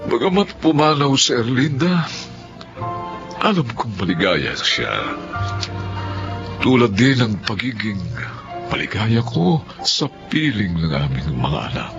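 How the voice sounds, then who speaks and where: A man playing a forgiving, grieving husband and father in a Filipino radio drama, solemn, measured and emotive. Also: mid-range voice, huge pitch swings, heavily acted. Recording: dull lo-fi audio with music.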